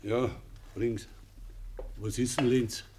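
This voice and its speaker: Schauspieler als verbitterter, störrischer alter bayerischer Bauer. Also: male voice, medium pitch, fairly even intonation, takes it slowly, dark tone, a little hiss or hum, lightly acted. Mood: grumbling. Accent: Bavarian. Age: older. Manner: curt, sarcastic, defiant. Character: bitter, stubborn, proud old peasant farmer, quick to anger. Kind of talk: rural dialect radio play acting.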